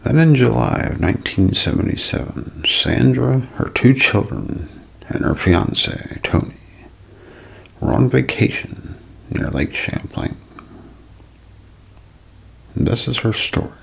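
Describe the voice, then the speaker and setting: A man narrating a scripted mystery as ASMR in a breathy, hushed half-whisper, phrase by deliberate phrase. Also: mid-range voice, animated. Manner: slow, deliberate, broken into short phrases.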